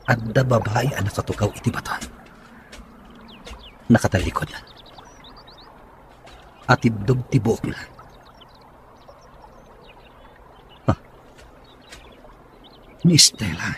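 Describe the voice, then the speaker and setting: A man's voice: on the low side, slow, long pauses, a little hiss or hum. A man with a Filipino accent playing an earnest, lovelorn young suitor in a radio drama. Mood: pensive. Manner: earnest, pleading. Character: lovelorn young man pleading with his sweetheart. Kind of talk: Ilocano radio drama acting.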